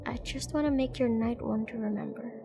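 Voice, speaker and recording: A woman's voice: fairly high pitch, brisk, background noise, natural voice. A woman playing a confident, flirty and dangerous mercenary in a nightclub roleplay audio drama, teasing and commanding as she addresses the listener. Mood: seductive, assured.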